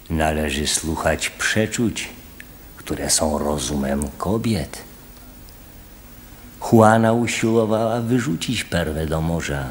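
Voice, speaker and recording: Chorus villager for radio drama: low, slow, solemn, worried and earnest. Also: male voice, a little hiss or hum. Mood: admonishing. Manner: solemn, deliberate declamation. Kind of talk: theatrical radio drama acting.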